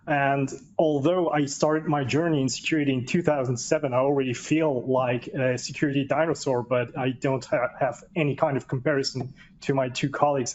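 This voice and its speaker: Man with faint background hiss. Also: medium pitch. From Austria. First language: not English. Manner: relaxed, chatty, self-deprecating. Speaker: panelist, security executive. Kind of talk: panel discussion self-introduction. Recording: dull lo-fi sound, faint background noise.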